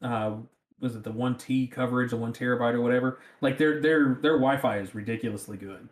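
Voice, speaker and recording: A man's voice: medium pitch, fairly even intonation, a little fast. A man with a rural Southern American accent, chatting casually. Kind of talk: casual chat. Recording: roomy, echoing sound.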